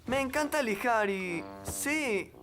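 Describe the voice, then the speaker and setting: A woman's voice: on the high side, expressive, slow. A woman voicing an awkward, eager-to-please young boy in a children's cartoon dub, hesitant and slightly goofy. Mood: nervous, eager. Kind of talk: animated children's series dub. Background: loud background music.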